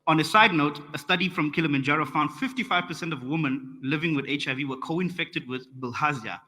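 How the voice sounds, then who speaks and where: Bright-voiced South African man in a hall. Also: on the high side, a little fast. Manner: friendly, lightly joking, calls slides. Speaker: conference track rapporteur. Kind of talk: conference presentation. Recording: reverberant conference hall.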